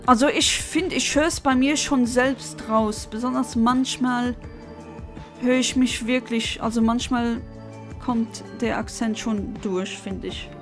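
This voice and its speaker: Gravelly-voiced woman streamer, chatty. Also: on the high side, fairly even intonation, slow, soft background music. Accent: non-native English accent. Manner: chatty and self-deprecating, many fillers and restarts. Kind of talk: casual live-stream chat while playing and answering chat.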